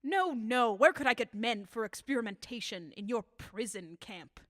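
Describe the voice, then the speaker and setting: Radio drama: bitter elderly female inventor of deadly substances played by an actress, higher-pitched, theatrical and deliberate, close dry sound. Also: lively intonation, fairly bright tone, dry recording. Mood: grimly insistent. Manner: theatrical, deliberate, formal phrasing.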